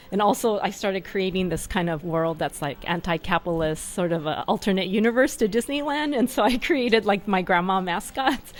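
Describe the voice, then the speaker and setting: A chatty woman from California, interviewed at an art fair booth. Her voice sits at a middling pitch, and she talks in a loose, casual way, full of "um" and "like" and quick laughs. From California, USA. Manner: chatty, laughs often, uses fillers like "um" and "like". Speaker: artist, guest.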